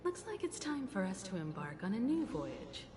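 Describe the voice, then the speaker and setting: A woman playing a polite, composed navigator in a video game, speaking in a measured, courteous and formal way. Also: medium pitch, big pitch swings, over music, lightly acted. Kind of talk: video game character acting.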